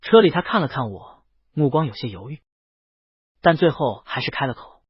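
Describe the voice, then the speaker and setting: Male audiobook narrator, animated intonation, husky tone, dry lo-fi recording. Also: mid-range voice, normal speed, no echo. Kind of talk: scripted first-person story narration.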